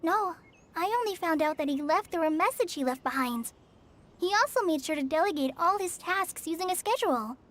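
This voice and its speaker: A woman voicing a gentle, earnest and sickly young forest ranger girl in a video game, speaking politely and a little hesitantly. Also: very high voice, lively intonation, fairly quick, slight background noise. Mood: explaining. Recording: game music under the voice.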